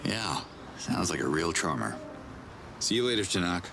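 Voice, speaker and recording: An actor playing a brash, wisecracking hero in a video game, talking in a casual, sarcastic and cocky way. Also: male voice, low voice, animated, normal speed, vocal fry, background noise. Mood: wry. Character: wisecracking human man, brash heroic outsider. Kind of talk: video game cutscene acting.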